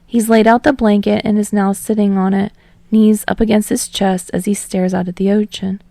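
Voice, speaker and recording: A woman narrator reading fiction aloud in an expressive, storytelling style. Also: mid-range voice, moderate pace, gravelly, no echo, clean studio sound. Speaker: podfic narrator.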